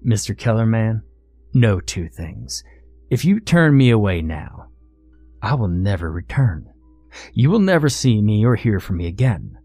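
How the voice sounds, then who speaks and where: A man voicing a small, genteel Southern gentleman with a florid, drawn-out way of speaking and an American Southern accent. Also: on the low side, very animated, dry recording, faint music behind, full character voice. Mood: menacing. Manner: florid, drawn-out, ever so gracious. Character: small pot-bellied Southern dandy man, genteel, menacing.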